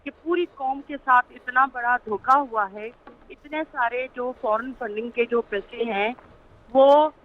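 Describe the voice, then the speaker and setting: A woman with a Pakistani accent arguing politics as a panel guest, halting with repeated filler phrases over a phone-like line. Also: fairly high pitch, a little hiss or hum. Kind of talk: political argument on a talk show. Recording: tinny, narrow phone-line sound.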